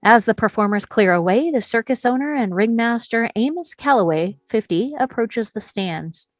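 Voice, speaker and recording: A woman's voice: a little high, animated, normal speed, no echo. A woman reading stage directions as the narrator of a screenplay.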